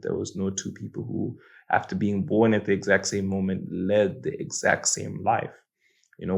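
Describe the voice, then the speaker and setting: A young man from Malawi speaks in a low, fairly flat voice. He talks earnestly and reflectively, like a host sharing personal lessons, and he often circles back over his words as he thinks. Overall the tone stays even and steady. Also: creaky. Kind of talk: casual reflective monologue.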